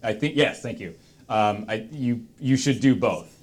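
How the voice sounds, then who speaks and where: A man hosting a panel in casual chat, thinking aloud in halting, broken fragments. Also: mid-range voice, animated. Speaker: host of a charity stream panel.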